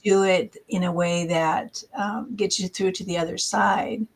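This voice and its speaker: A woman podcast co-host and coach speaking in a calm, measured way, with supportive reflections. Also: mid-range voice, vocal fry. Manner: calm and measured, talks in affirmations. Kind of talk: casual chat.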